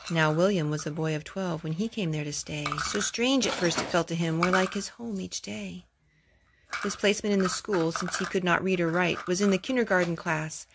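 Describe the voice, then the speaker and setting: A woman hosting a children's storytime, reading aloud in an expressive storytelling manner. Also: on the low side, normal speed, background noise.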